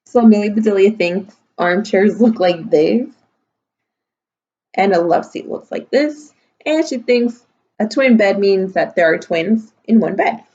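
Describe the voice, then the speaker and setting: A woman's voice: mid-range voice, unhurried, mellow, dull audio. A woman teacher reading a picture book aloud to young children, adding simple explanatory asides. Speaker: kindergarten and first grade teacher.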